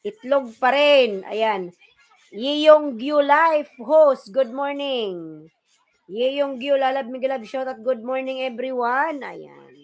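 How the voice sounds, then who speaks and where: A woman with a Filipino accent and Tagalog as her first language, hosting a casual livestream and repeatedly calling out names and shout-outs to viewers. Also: sits high, slow pace, soft background music. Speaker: livestream host. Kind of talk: casual live-stream chat, greeting viewers and reading comments.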